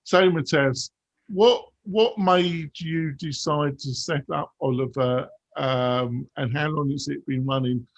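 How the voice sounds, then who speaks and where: A man with a fairly high voice hosts a podcast, bright and upbeat as he welcomes listeners and reads ads. The sound is close and dry, with no room around it. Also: slow, no echo. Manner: upbeat, announcer-like. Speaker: podcast host. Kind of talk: podcast hosting and sponsor read.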